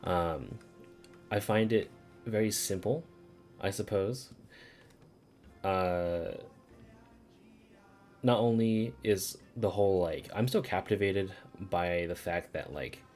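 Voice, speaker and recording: A man's voice: sits low, slow pace, pauses often, a little mellow. A man streaming a video game, chatting casually, thinking aloud in a rambling way with some swearing. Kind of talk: casual livestream chat over gameplay. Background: video game music.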